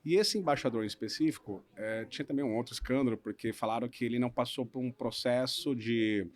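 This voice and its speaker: A man from Brazil, a lawyer in his thirties, telling the story of his career in a relaxed podcast conversation, often checking in with "né?". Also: mid-range voice, a little fast. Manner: relaxed storytelling, hedging with frequent "né?". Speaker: guest lawyer. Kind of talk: casual podcast interview.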